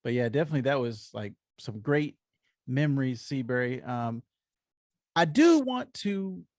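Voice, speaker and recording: A man's voice: medium pitch, very animated, moderate pace, a little mellow, no echo, clean studio sound. A man co-hosting a podcast, chatting casually and agreeably as a fan. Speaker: comics podcast co-host.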